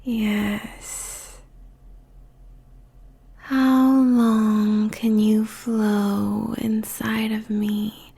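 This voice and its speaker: A woman's voice: mid-range voice, vocal fry, dark tone, breathy edge, exaggerated voice. A woman playing a seductive, possessive succubus demon queen in an intimate ASMR roleplay, whispering breathily and savoring each phrase. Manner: slow, drawn-out, sultry, savoring phrases.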